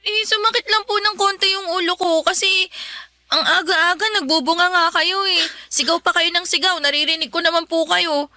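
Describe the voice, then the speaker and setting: Meek high-voiced Filipino teenage daughter. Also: female voice, steady tone, moderate pace, bright, forceful tone, dry recording. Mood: apologetic, hesitant. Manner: polite, hesitant, pleading. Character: meek, hurt teenage daughter pleading with her parents. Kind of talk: Filipino radio drama acting.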